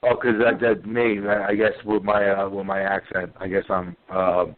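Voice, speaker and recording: A man, co-hosting a casual sports podcast, animated, swearing and tripping over his words. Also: on the low side, normal speed. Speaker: podcast co-host. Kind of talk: casual sports call-in chat. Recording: tinny phone-like line.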